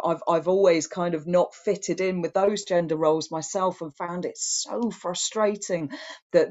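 A woman in her thirties giving an online talk from her living room, earnest with frequent restarts, her voice getting a bit hoarse. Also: deep voice, a little mellow, dull audio. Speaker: guest speaker. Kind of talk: online talk answering comments. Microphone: webcam on video call.

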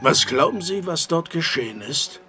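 A man's voice: a little high, lively intonation, normal speed, over music, natural voice. An actor playing a middle-aged father of a missing son, worried and sceptical, talking directly and probingly in a horror audio drama.

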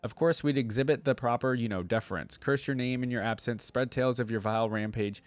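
A man voicing an older village woman, a blunt leader who turns halting when showing deference, in a storybook reading. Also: mid-range voice, no echo, natural voice. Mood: awkwardly placating. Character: commanding older village woman leading a mob, awkwardly deferential.

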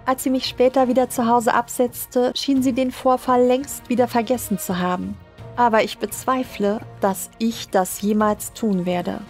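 A woman with a fairly high voice narrates creepypasta in a measured, dramatic way. Music plays under her scripted reading. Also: level tone, a little mellow. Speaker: horror story narrator.

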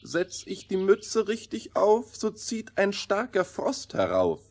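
An actor playing a quirky frost-maker in a children's fairy-tale play, speaking husky rhyming verse. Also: male voice, high-pitched, wildly swooping pitch, takes it slowly, darkish tone, full character voice. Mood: quirky, proud. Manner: sing-song rhyming verse. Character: quirky frost-making man who speaks in rhyme. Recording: music faintly behind the voice.

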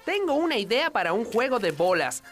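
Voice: mid-range voice, big pitch swings, a little fast, close to an everyday voice. A performer voicing a proud, eccentric inventor animal in a cartoon, explaining things with self-importance. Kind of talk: animated cartoon dub acting. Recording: music behind the voice.

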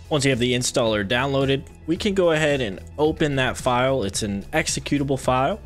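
A man in his thirties hosting a software tutorial, speaking in a friendly, direct way to viewers into a close microphone. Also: medium pitch. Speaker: video tutorial host. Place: small room indoors at a desk. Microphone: close desk microphone with headset on.

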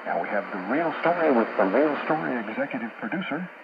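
A man working as a radio host and journalist, reading scripted discussion in a measured, formal, informative way over shortwave radio. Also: medium pitch, lively intonation. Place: radio studio, heard over a shortwave receiver. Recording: muffled noisy shortwave radio broadcast.

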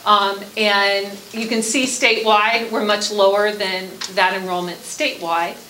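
A woman in her forties explains a school program as a presenter at a meeting. Her voice is bright and of medium pitch. The room is echoey, with faint noise behind her. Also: flat delivery, a little slow.

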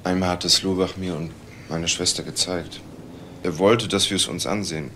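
Deep-voiced, guarded man under questioning. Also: fairly even intonation, moderate pace, bright, forceful tone, slight background noise. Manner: guarded, hesitant short answers. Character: jobless male typesetter, defensive and uneasy under questioning. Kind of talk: German TV crime drama dialogue.

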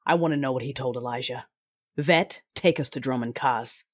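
A woman playing a bitter, imperious Sith Lord bent on vengeance, narrating an audio drama in a grave, measured way. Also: very deep voice, no room sound, clean studio sound. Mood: cold, commanding.

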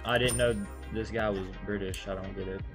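A man's voice: on the low side, fairly even intonation, a little fast, music in the background. A young man, a gaming streamer, giving casual, sweary, slangy commentary as he plays. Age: young adult. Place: indoors at a computer.

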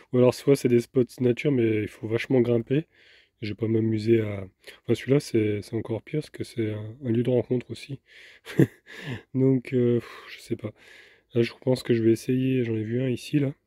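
A man's voice: mid-range voice, mellow, dry recording, clean studio sound. A tired man in his thirties chatting casually to the camera while driving his camper van, thinking aloud with many fillers. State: tired after a sleepless night. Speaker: vanlife vlogger. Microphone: camera mounted on the dashboard. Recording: engine and road noise behind the voice.

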